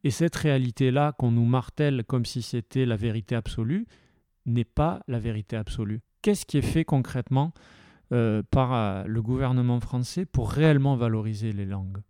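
Impassioned French-speaking man, very dark voice. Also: medium pitch, moderate pace, clear, resonant tone, no echo, studio quality. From Occitan-speaking region of France. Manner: impassioned, many hesitations and restarts. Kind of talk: passionate casual talk.

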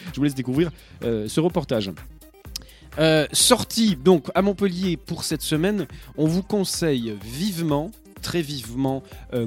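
A man's voice: mid-range voice, a little mellow. A man hosting a community radio show, reading announcements with many hesitations and restarts. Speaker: radio host.

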